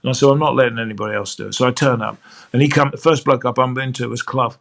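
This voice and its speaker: An older male sports broadcaster with a gravelly edge, recalling stories in a chatty, affectionate way. He often trips over and restarts his sentences as the memories come. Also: medium pitch, fairly even intonation, fast. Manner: chatty, fond, with frequent restarts. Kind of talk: anecdotal interview storytelling.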